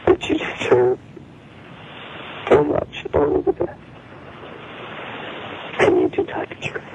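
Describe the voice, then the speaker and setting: A man's voice: fairly high pitch, wildly swooping pitch, slow pace, creaky, darkish tone. A man pleading and apologizing on a phone call, his voice breaking with tears. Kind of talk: emotional phone conversation. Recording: tinny noisy phone line.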